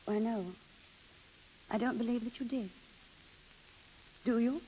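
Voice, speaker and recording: An actress in a 1930s radio play as a vain, evasive wife who clings to youth and romance, with a theatrical manner. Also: female voice, on the high side, fairly even intonation, slow, dark tone, slightly rough. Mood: evasive, cool. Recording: dull, reverberant 1930s radio broadcast.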